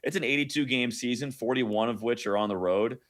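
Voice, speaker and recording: A man's voice: mid-range voice, fairly quick, fairly bright tone. A man, a hockey announcer, giving casual commentary as a radio guest. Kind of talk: casual sports interview commentary.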